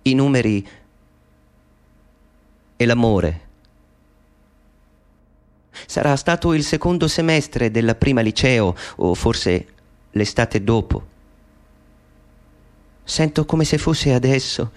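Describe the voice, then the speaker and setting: A man's voice: mid-range voice, expressive, slow pace, pauses often. An actor playing a middle-aged man speaking an intimate, wistful monologue to his mother in a radio play. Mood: reflective.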